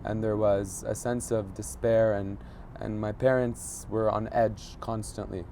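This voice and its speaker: A man in his thirties who grew up in Egypt, a journalist telling a personal story in an interview, pausing often to search for words. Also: on the low side, little pitch movement, vocal fry, warm tone, slight background noise. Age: 30s. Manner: thoughtful, searching for words, frequent fillers. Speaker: journalist guest.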